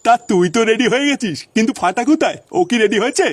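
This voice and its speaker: A man voicing a fussy, sharp-tongued old village grandfather in a comic cartoon, bossy and cartoonishly high-pitched. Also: lively intonation, fast, no room sound, clean studio sound.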